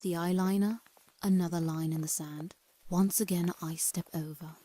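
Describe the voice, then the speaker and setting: A woman's voice: lowish voice, steady tone, moderate pace, dark tone, close to an everyday voice. A woman playing a starstruck seventeen-year-old girl who narrates earnestly and dramatically in a fan-dubbed comic drama, with music playing. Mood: brooding.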